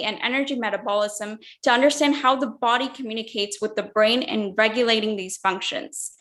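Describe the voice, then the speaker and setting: A woman hosts an interview over a video call, her voice sitting a little higher than most. She reads her introduction and asks her questions in an upbeat, gracious way. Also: a bit bright. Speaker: host.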